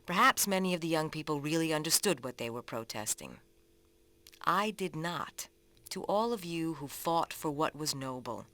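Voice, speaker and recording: A woman narrator reads written reflections dramatically, in a solemn, deliberate manner with pauses between phrases. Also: sits low, a bit bright. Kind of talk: dramatic reading of written commentaries. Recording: acoustic guitar music behind the voice. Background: plucked guitar music.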